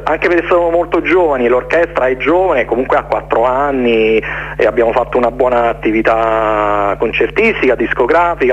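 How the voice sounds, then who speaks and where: A man, speaking casually as an interview guest in a halting, rambling way with repeated words. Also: mid-range voice. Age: late 40s. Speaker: interview guest, jazz orchestra conductor. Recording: dull, noisy radio recording, hum.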